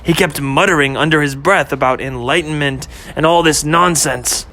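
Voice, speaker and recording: A man's voice: fairly high pitch, moderate pace, sharp tone. An actor playing a troubled young man who speaks earnestly and hesitantly about his worries. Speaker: boyfriend in second-person story.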